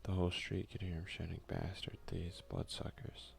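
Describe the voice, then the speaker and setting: A low, heavily creaky male voice giving hushed, casual ASMR game commentary. He is close to the mic, with faint noise and clicking behind him. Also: level tone, moderate pace. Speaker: ASMR gaming host. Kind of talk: soft-spoken ASMR let's play commentary.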